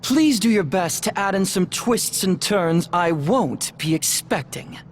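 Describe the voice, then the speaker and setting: A man's voice: high-pitched, expressive, measured pace. A theatrical video game villain with leisurely, heavily swooping delivery. He is formal and pompous, relishing the hardship of heroes. Faint noise sits behind the voice. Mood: amused, theatrical. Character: theatrical villain who savors others' heroic struggles. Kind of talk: scripted video game acting.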